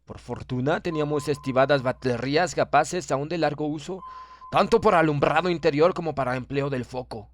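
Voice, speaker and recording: A man's voice: on the high side, a little fast, slight background noise. A man reads a horror story aloud as an audiobook narrator, in dramatic scripted narration. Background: background music.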